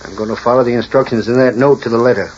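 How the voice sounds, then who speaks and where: An actor playing a proud, bitter rancher with a blunt, curt way of talking in a 1940s radio western. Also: male voice, medium pitch, steady tone, racing, darkish tone, slightly rough, close to an everyday voice. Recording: dull, hissy 1940s radio recording.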